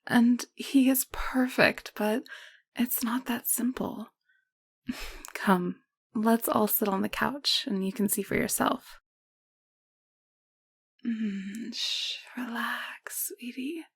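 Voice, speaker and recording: A woman acting as a tender, protective motherly nurse in an audio roleplay, gentle and soothing, often dropping into whispers. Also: fairly high pitch, takes it slowly, creaky, pauses often, husky, no room sound, full character voice. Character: tender, protective motherly nurse, cooing over a foundling child.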